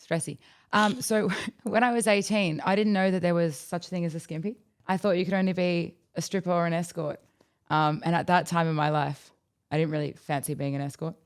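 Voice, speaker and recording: A woman's voice: lowish voice, steady tone, fairly quick. Dry, self-deprecating young Australian woman. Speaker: podcast guest. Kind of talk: casual storytelling chat.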